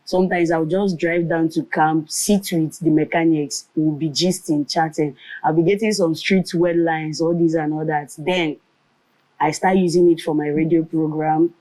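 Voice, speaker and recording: A woman's voice: low voice, steady tone, normal speed, dark tone, poor audio. A woman in her thirties with a Nigerian Igbo accent, telling her story in a conversational interview. Her first language is Igbo, and she mixes Pidgin and Igbo into her English. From Nigeria, Nkanu land. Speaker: comedian and radio presenter, interview guest.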